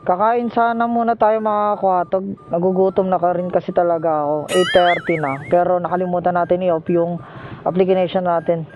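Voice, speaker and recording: A high-pitched Filipino man talks casually into a helmet mic out on the road. He is polite and jokey, narrating his motorcycle taxi rides, with music playing clearly behind him. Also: little pitch movement, normal speed, phone-quality sound. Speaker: motorcycle taxi rider and vlogger. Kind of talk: casual ride vlog chat. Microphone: helmet intercom microphone.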